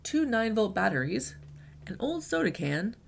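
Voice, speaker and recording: A woman reading a children's picture book aloud in an expressive storyteller's delivery. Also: mid-range voice, normal speed, gravelly, slight background noise.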